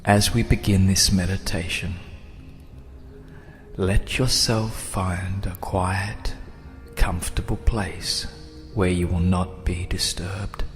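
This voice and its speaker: A man with a low, even voice narrates a guided meditation over faint music. He speaks very slowly and soothingly, leaving long pauses. His phrases settle into soft, breathy endings.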